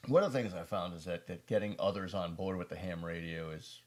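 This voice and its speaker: A man's voice: low-pitched, fairly quick. An American man hosting a prepper video, talking casually through a product review in a rambling, folksy, self-deprecating way.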